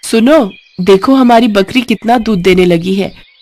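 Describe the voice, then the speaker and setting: A woman's voice: mid-range voice, a little slow, a little mellow, close to an everyday voice. A woman voicing a devoted, poor village wife, pleading and emotional, in a moral story. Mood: hopeful. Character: worried, devoted poor village wife, plain-spoken, excitable.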